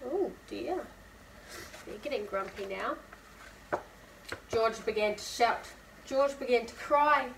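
A woman in her twenties reading a picture book aloud to young children, expressive and asking listeners questions. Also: on the high side, slow, gravelly, pauses often. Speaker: preschool storytime reader. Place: indoor room, seated beside a whiteboard. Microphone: camera a few feet away. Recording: distant camera mic, faint room noise.

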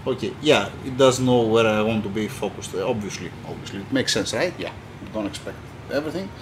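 A man's voice: lowish voice, expressive, brisk, noisy background. Non-native man narrating an engine rebuild. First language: Greek. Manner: thinks aloud, restarts often, non-native phrasing. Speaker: mechanic filming his engine rebuild. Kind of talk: casual workshop narration. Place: indoor engine workshop. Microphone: handheld camera.

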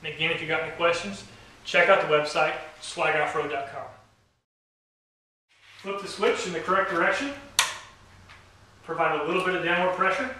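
A man's voice: a little high, normal speed, long pauses, sharp tone, a little hiss or hum. A young man with dry, wry humor, demonstrating a product to camera in a garage workshop. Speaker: company owner demonstrating a product. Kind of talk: product demo talking to camera. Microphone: camera mic from across the room. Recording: very echoey garage, heard from a distance.

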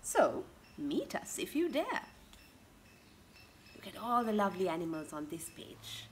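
A woman in her thirties doing an interactive picture-book read-aloud for young children, expressive and full of questions to her listeners. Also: mid-range voice, measured pace. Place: outdoors in a home garden. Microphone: camera a couple of metres away. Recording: outdoor ambient garden noise.

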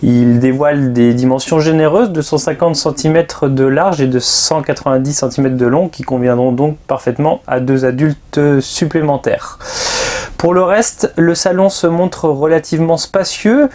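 A man, presenting a vehicle review in a measured, explanatory way into a lapel mic. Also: fairly high pitch, steady tone, a little mellow. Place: outdoors by a river and inside a motorhome.

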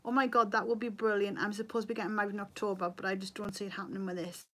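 A woman's voice: mid-range voice, level tone, rapid-fire, sharp tone. A woman in her thirties with a Geordie accent, chatting casually on a makeup livestream, a bit tired, reading out viewer comments from her bedroom webcam. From North East England. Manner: chatty, reads viewer comments aloud, laughs easily. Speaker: beauty vlogger. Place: bedroom at home, indoors. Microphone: webcam at desk.

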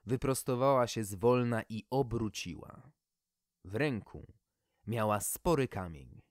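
A man's voice: on the low side, lively intonation, slow, no echo, clean studio sound. A man reading a scripted fairy tale expressively, as an audiobook storyteller.

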